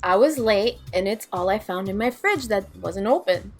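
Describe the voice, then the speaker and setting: A woman's voice: medium pitch, animated, moderate pace, darkish tone, husky, soft background music. A woman playing a teasing, sardonic young woman in an audio drama, with playful, sarcastic banter. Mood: dry, casual.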